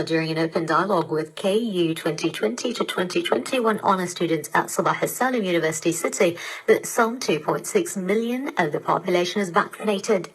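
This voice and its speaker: A woman presenting a scripted news bulletin on the radio in a formal, measured manner, heard through shortwave digital radio reception. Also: lowish voice, husky, low-quality recording. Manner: formal, measured headline delivery.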